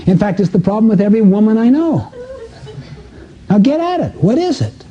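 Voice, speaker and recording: A man's voice: sits high, big pitch swings, a little fast, mellow, pitched above the performer's own voice. A man acting out an exasperated, condescending husband in a seminar anecdote, impatiently badgering his wife, on a dull lo-fi recording.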